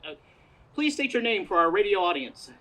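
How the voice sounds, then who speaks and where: A woman's voice: medium pitch, very animated, normal speed, a bit bright. A woman playing a bumbling, nervous male radio reporter in a comic stage play outdoors, hesitant and stumbling. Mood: awkward, formal. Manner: hesitant, stumbling, awkwardly filling time. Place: outdoors in a parking lot performance. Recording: outdoor stage with noise behind.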